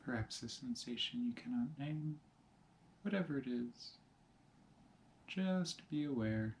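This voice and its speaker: A man teaching meditation, giving calm, measured instructions in short phrases with pauses between them. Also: medium pitch, slight background noise. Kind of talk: guided meditation, slow spoken instructions.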